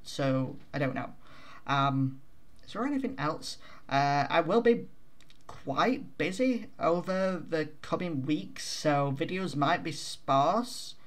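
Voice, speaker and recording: Slow rambling self-mocking young man. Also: fairly high pitch, expressive. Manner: self-deprecating, chatty, many fillers. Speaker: vtuber channel host. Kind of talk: casual rambling update to viewers. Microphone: desk microphone at home.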